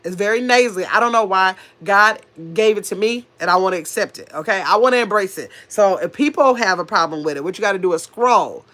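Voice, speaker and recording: A woman's voice: mid-range voice, a little fast, few pauses, a bit bright. A middle-aged woman with a nasal voice, coming down with a cold, chatting casually on her livestream and answering viewers' comments in a sassy, rambling way. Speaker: livestream host reading chat.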